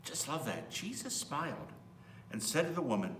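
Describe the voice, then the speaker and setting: A man's voice: a little high, big pitch swings, normal speed, a bit bright. A man reading a children's Bible story aloud as a priest, expressively, with simple asides to young listeners. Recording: roomy, distant mic, faint hum.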